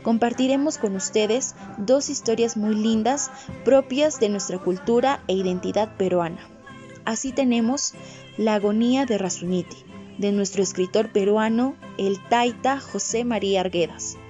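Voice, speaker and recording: A woman's voice: on the high side, moderate pace, mellow, soft background music. A woman with a Peruvian accent hosting a podcast, reading a scripted programme introduction. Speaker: podcast host. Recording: dull lo-fi voice recording.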